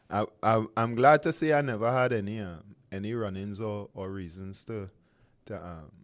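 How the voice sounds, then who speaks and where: A man with a Trinidadian accent hosting a relaxed radio interview, chatty and loose, often laughing and searching for words with fillers. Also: on the low side, a little slow. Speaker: radio show host.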